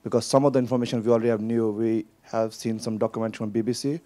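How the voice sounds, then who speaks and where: A man's voice: fairly low pitch, steady tone, very fast, dark tone. A man from Pakistan in the audience, asking a long, rambling question while searching for words.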